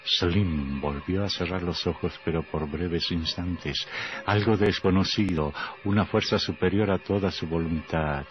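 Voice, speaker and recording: An actor playing a sinister storyteller, narrating radio horror with theatrical, morbid relish. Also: male voice, deep voice, fairly even intonation, measured pace. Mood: macabre relish. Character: sinister male horror storyteller, gleefully macabre doctor. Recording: dull old radio recording with music.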